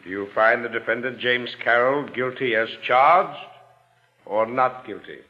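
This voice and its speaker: A man's voice: mid-range voice, measured pace, close to an everyday voice. An actor playing a stern male judge in an old radio drama, formal and commanding as he presides over a courtroom. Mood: solemn, formal. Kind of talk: 1940s-50s radio drama acting. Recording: muffled vintage radio recording.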